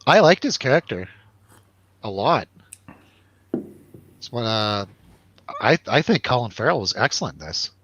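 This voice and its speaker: A man's voice: medium pitch, big pitch swings, measured pace, pauses often, a bit bright, breathy edge, highs cut off. A man chatting casually and jokily as host of a film review show, in informal, slangy talk. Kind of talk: casual chat, film review over drinks.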